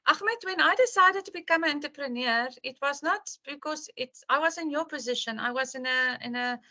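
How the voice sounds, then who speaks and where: A woman's voice: sits high, a little fast, sharp tone. A woman instructor opening an online course, repeating her questions and praising answers, with many fillers. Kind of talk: online class intro.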